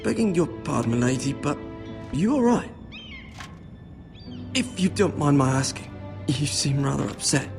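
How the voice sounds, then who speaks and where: A man's voice: fairly high pitch, sing-song, normal speed, gravelly, pauses often, over music. A man playing a humble, polite young servant boy in a medieval fantasy game, speaking in a deferential, hesitant way. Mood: apologetic, polite. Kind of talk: video game drama acting.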